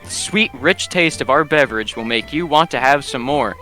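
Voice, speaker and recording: A man's voice: mid-range voice, lively intonation, moderate pace, sharp tone, faint music behind. A man playing a proud, formal company spokesman in a 1920s-style radio advert. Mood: proud, promotional. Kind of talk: 1920s radio commercial read.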